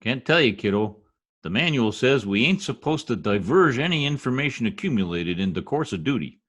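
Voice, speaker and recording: A man's voice: mid-range voice, expressive, fairly quick, a bit bright. A man playing a brash, folksy census taker in old-time radio comedy style, slangy, blustering and easily exasperated. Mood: breezy, self-important.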